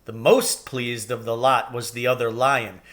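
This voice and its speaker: A man reading a children's story aloud with expressive, deliberate and dramatic phrasing. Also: mid-range voice. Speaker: reader, teacher reading aloud.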